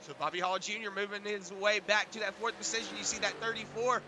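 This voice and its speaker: A man doing relaxed, rambling play-by-play for an online truck race, his voice hoarse from a sinus infection and full of filler words. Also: high-pitched, quick pace, background noise. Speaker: race commentator. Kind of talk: play-by-play sim racing commentary.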